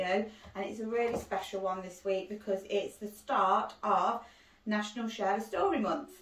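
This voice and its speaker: A middle-aged woman, a primary school teacher, reading a picture book aloud to children in an expressive, deliberate storytelling manner, recorded on a phone indoors. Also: medium pitch. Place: small cosy sitting room indoors. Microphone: handheld phone a short distance away. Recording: roomy echo, phone recording.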